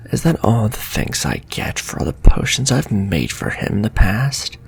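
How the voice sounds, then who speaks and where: A man voicing a sly, sadistic warlock villain in a flirtatious ASMR fantasy roleplay, with a slow, teasing, half-whispered delivery. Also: lowish voice, big pitch swings, gravelly, husky, full character voice. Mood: smug teasing.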